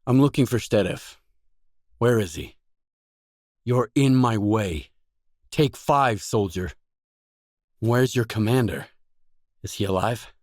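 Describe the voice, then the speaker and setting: An actor voicing a gruff, guarded lone stalker for hire in a video game, speaking in terse, dry, self-assured lines. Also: male voice, fairly low pitch, animated, takes it slowly, pauses often, no echo. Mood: urgent, pressing.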